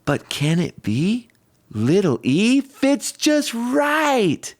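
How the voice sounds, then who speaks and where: Male picture-book reader, slightly high voice, unhurried delivery, playful stress on rhymes and sound words. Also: wildly swooping pitch. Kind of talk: expressive read-aloud storytelling of a rhyming picture book.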